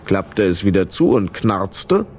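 A man's voice: low voice, sing-song, takes it slowly, darkish tone, a little hiss or hum. A man narrating a children's radio play as a scripted storyteller.

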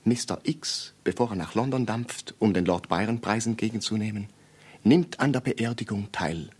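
An actor playing a pedantic, obsessive amateur sleuth in a radio play, speaking in formal, precise, declamatory phrases. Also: male voice, fairly low pitch, moderate pace, a little mellow, slightly rough. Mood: rapt, declamatory. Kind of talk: German radio play acting.

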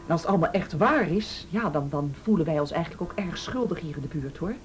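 A woman's voice: low-pitched, animated, fast talker, faint music behind. A woman acting a deferential, fussy neighbour in a radio play, gushing and seeking agreement. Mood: anxious, deferential. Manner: fussy, gushing, full of tag questions. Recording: faint background hiss.

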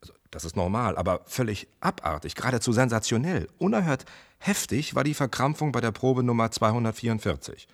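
A man's voice: mid-range voice, expressive, fairly quick. An actor playing an ambitious, self-assured male medical researcher in a German radio play, speaking in a wry, enthusiastic conversational way. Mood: intrigued.

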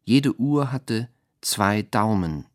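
A man reciting poetry with halting, deliberate phrasing, as in a radio drama. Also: fairly low pitch, lively intonation, dry recording, studio quality.

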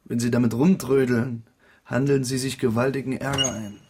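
Actor as male official, radio drama: husky, even-toned, stern, formal, dutiful. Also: mid-range voice, normal speed, lightly acted. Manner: formal, firm. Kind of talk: German radio drama dialogue.

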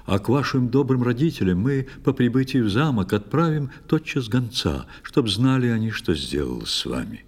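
A man's voice: medium pitch, sing-song, moderate pace, hardly any pauses, slightly rough, big-room sound, voice pushed up. A man playing a courteous, decisive young knight, speaking formal verse with assurance. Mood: reassuring. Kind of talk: dramatic verse recitation. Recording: music behind the voice.

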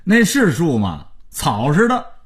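A man voicing a sharp-tongued neighbor's son who argues and needles in a raised voice. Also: fairly high pitch, wildly swooping pitch, slow pace, pitched above the performer's own voice, exaggerated voice. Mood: combative. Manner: shouting back, needling.

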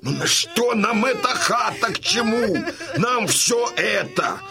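An actor playing a gruff, imperious old Cossack colonel and father in an audio drama, with a husky voice and a bluff, emphatic delivery. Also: extremely high pitch, expressive, slow, a crowd in the background, full character voice. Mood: fiery, impatient. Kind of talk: Russian audio drama acting.

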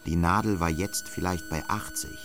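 A man's voice: sits low, normal speed, music in the background. A man reading scripted literary narration as the narrator of an audio drama.

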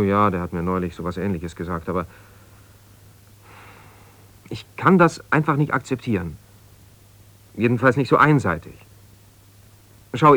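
An actor playing an ambitious, self-justifying lawyer in a German radio drama, speaking haltingly and conversationally as if on the phone. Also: male voice, medium pitch, fairly bright tone, no room sound, lightly acted. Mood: resigned, then aggrieved, insistent. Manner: conversational, halting, with repeated phrases and pauses.

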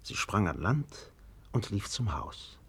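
Deep, husky male radio play narrator. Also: very animated, slow.

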